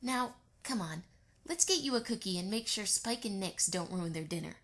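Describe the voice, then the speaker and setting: A woman playing a gentle, fussy mother pony in an amateur audio drama. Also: medium pitch, lively intonation, moderate pace. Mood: apologetic, fond.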